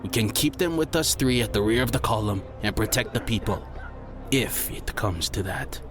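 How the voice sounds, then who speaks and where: A man's voice: lowish voice, animated, moderate pace, noisy background, lightly acted. Man playing an earnest, resolute ninja master. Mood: earnest, determined. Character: earnest, resolute male ninja master defending his city.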